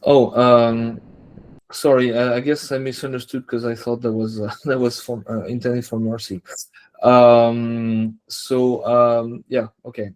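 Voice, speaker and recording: A man who works as a software developer, talking casually and tentatively through technical points on a team call. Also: medium pitch, level tone, unhurried.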